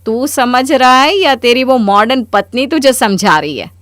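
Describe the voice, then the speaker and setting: A woman voicing a spiteful, scheming middle-aged mother-in-law in a cartoon story, with a sharp, taunting, bossy delivery. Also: on the high side, a little slow, no room sound, clean studio sound. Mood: spiteful, stubborn. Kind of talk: Hindi cartoon story dialogue.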